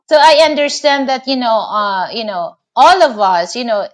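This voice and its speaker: A woman's voice: fairly high pitch, lively intonation, a little slow. A middle-aged Filipino woman hosting a live online interview, rambling with many filler phrases and restarts. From Philippines. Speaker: host.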